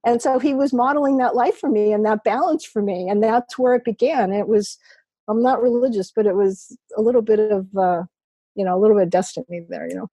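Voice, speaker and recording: A woman's voice: mid-range voice, fairly quick, mellow, no echo. A woman, a guest chatting on a video call, rambling and laughing often. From New York. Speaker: podcast guest, poker writer. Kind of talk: casual remote interview chat.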